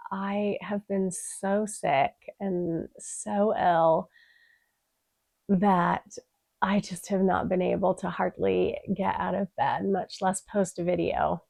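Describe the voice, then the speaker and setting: Slow, nasal, stuffed-up vlogger woman. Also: medium pitch, creaky, dry recording. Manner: chatty, frequent "um" fillers, soft-spoken. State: congested, stuffed-up voice. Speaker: beauty vlogger. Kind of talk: casual product review.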